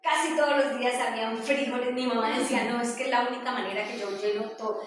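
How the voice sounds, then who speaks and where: A woman with a Colombian accent giving an informal presentation, telling stories in a halting, conversational way. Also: fairly high pitch, steady tone. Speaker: presenter sharing her hometown memories. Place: echoey indoor room with a small audience. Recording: very echoey room, distant mic, faint noise.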